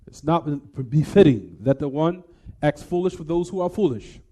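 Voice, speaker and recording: A man's voice: a little high, expressive, moderate pace, warm tone. A man giving a religious lecture, explaining a text in a didactic way and posing rhetorical questions to his listeners.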